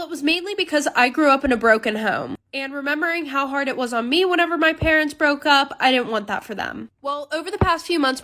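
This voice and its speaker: High-pitched young woman telling a personal story. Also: fairly bright tone. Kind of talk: casual personal storytime narration.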